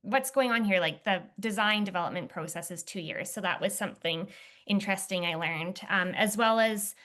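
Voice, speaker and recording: A young woman guest in an interview, talking casually with many fillers and restarts, her voice slightly hoarse. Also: medium pitch, moderate pace, fairly bright tone. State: slightly hoarse, clearing throat. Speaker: footwear testing lead, interview guest.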